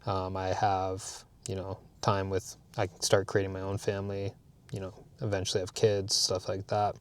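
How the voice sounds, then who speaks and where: A man's voice: low-pitched, monotone, normal speed. A man in his thirties from Canada, chatting candidly in a vlog recorded in his parked car, rambling with plenty of fillers and restarts. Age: 30s. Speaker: vlogger. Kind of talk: casual talking-head vlog.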